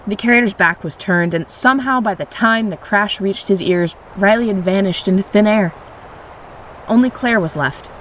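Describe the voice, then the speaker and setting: A woman playing a wry, reflective storyteller, narrating an audio drama in a measured way. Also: medium pitch, a bit bright, slight background noise, natural voice, rough recording. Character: wry, reflective storyteller recalling childhood mischief.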